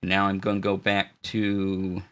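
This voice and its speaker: Man, low voice, casual stream host, halting, filler-heavy, close studio mic. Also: smooth. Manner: relaxed, halting explanations with fillers and restarts; greets chat viewers warmly. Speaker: streamer demoing audio gear. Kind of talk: casual live-stream tech demo. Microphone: studio dynamic mic.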